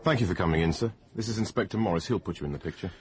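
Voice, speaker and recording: An actor playing a quick, polite junior police detective in a radio drama. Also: male voice, low-pitched, lightly acted. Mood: polite, official.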